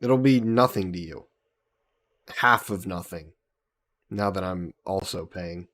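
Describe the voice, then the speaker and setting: A man voicing an easygoing, teasing young adventurer hero in an intimate fantasy roleplay audio drama, casual and gently coaxing. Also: sits low, takes it slowly. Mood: persuading, casual. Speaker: voice actor.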